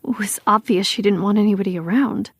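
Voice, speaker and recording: A woman playing a missing woman's protective, bitter best friend, talking in a casual, halting, emphatic interview style. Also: medium pitch, breathy edge, dry recording, clean studio sound. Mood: uneasy recounting. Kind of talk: acted documentary interview, conversational.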